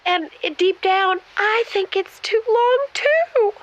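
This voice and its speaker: Very high-pitched, needy, distraught female caller. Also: animated, slow pace, lo-fi sound, full character voice. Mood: guilty, worked up. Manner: earnest, plaintive, dramatic. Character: distraught, needy woman caller who suspects her partner. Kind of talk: improvised comedy call-in radio show.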